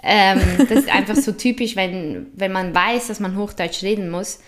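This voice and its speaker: A woman's voice: medium pitch, normal speed. Woman, Swiss German accent, talkative podcast guest who laughs easily and hesitates with fillers. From Switzerland. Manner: chatty, laughs often, many fillers. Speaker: guest, influencer. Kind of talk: casual interview chat.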